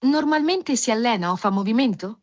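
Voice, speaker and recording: Brisk synthetic woman's app voice. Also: fairly high pitch, animated, highs cut off. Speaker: app voice reading medical phrases.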